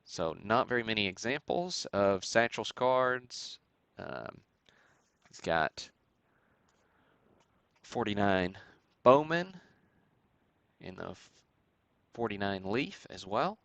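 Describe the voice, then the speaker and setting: A man hosting a live stream, giving matter-of-fact commentary in a halting way with pauses. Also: lowish voice, lively intonation, creaky, a bit bright, smooth, dry recording. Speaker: host of a sports card break stream. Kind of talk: live show-and-tell commentary on vintage cards.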